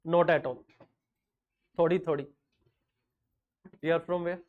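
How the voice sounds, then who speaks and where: A man's voice: on the high side, fairly even intonation, phone-quality sound. A middle-aged man from North India, whose first language is Hindi, teaching a classroom lecture with a North Indian accent and joking asides. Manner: mixes English with quick Hindi asides, joking.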